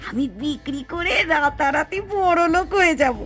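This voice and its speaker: Woman voicing a high, bright, scheming village aunt. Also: normal speed, soft background music. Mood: greedy excitement. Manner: exclamatory, theatrical, coaxing and scolding. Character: greedy, scheming, impatient village aunt and wife. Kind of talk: Bengali cartoon moral-story acting.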